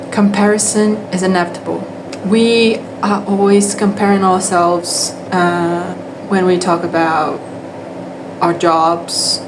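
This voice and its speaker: A young woman with a Brazilian accent speaks English in a lowish, fairly flat voice, starting over often as she gathers her thoughts. Her tone stays level and understated. A steady air-conditioner hum runs underneath her. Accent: Brazilian Portuguese. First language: Portuguese. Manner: hesitant, with frequent restarts and fillers. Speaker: English teacher. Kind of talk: casual talking-to-camera monologue.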